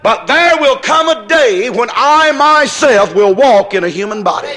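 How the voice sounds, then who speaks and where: A man's voice: extremely high pitch, lively intonation, measured pace. A man preaching an impassioned sermon in an emphatic, repetitive, folksy way, acting out dialogue as he goes. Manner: emphatic, repetitive, building phrases into shouts; folksy, colloquial phrasing.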